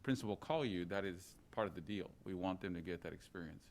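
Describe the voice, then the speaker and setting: A man presiding over a public meeting, making informal remarks in a halting way. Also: sits low, slight background noise. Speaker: presiding city leader. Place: city commission meeting room.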